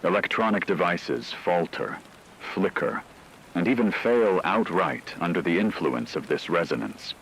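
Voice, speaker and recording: A man playing a solemn radio news anchor, delivering scripted, ominous disaster reports in a measured way. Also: low-pitched, gravelly, fairly bright tone, slight background noise, heavily acted, poor audio. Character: grave male radio news anchor reporting a werewolf apocalypse. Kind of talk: scripted dramatic news narration.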